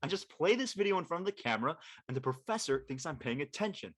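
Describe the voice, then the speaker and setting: A young man playing a jokey, excitable college student with brash, slangy delivery in a comedic drama reading. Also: a little high, fast. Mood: cheeky. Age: young adult.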